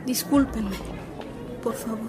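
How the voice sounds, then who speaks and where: A woman's voice: fairly high pitch, slow pace. An actress playing a burdened, pleading young woman in a radio drama. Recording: music and crowd behind the voice.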